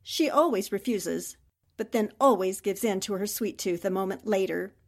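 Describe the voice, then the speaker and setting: A woman's voice: medium pitch, normal speed, close to an everyday voice. A woman playing a poised, haughty countess working as a Nazi impostor in a 1940s-style radio drama. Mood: sly, assured.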